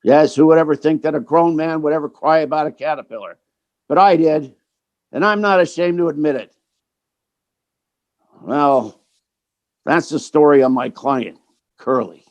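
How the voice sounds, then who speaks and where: A man's voice: on the high side, normal speed, long pauses, muffled recording, lightly acted. A man playing a sentimental theatrical agent who tells his sad tale in a folksy, slangy, wistful way, in old time radio drama style.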